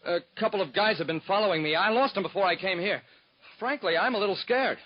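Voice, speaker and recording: A man's voice: high-pitched, fast talker, heavy background noise, lightly acted. A man playing an eager, nervous young job seeker. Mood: anxious. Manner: earnest, pleading. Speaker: secretary. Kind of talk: 1940s radio acting. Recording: dull lo-fi 1940s radio recording.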